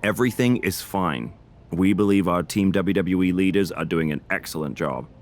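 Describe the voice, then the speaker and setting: A man plays a diplomatic general manager in a wrestling game. His voice is deep, and he talks in formal, slightly florid phrases, always placating and negotiating. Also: moderate pace, darkish tone, natural voice. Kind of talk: scripted video game cutscene acting.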